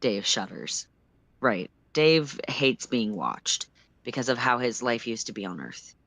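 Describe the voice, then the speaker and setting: Story reader, mid-pitched voice, very animated intonation, expressive fiction narration, dry close sound. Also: a little slow, no echo.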